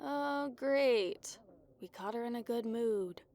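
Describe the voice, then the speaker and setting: A woman's voice: on the high side, slow, a little mellow. A woman voicing a young female adventurer in a fantasy audio drama, with a casual, blunt, sarcastic manner.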